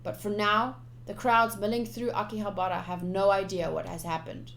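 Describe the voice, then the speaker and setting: A woman's voice: mid-range voice, flat delivery, normal speed, a bit bright, a little hiss or hum. A woman streamer reading visual novel text aloud, with casual commentary of her own. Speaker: game streamer. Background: game music.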